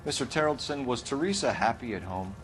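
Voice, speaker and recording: An American actor playing a stern, driven homicide detective in a video game, questioning in a clipped, formal, probing way. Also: male voice, mid-range voice, animated, fairly quick, fairly bright tone, faint music behind, close to an everyday voice, poor audio. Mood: measured, probing. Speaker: police detective. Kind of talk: video game dramatic acting, interrogation.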